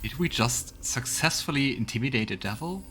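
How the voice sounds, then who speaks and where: A man's voice: medium pitch, expressive, moderate pace, a bit bright. A man hosting a let's play, musing aloud in casual game commentary.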